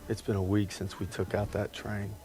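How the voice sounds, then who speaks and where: An actor playing a loyal, earnest young American infantry soldier in a war video game cutscene. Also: male voice, lowish voice, completely flat delivery, moderate pace, close to an everyday voice. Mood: sober narration. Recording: music under the voice.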